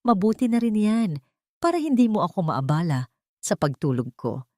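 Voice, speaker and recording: A woman's voice: medium pitch, very animated, moderate pace, a little mellow, no room sound. A haughty, irritable woman, as a female storyteller acts her out in a melodramatic story. She orders people about in a curt, complaining manner. The recording is dry and close. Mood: dismissive.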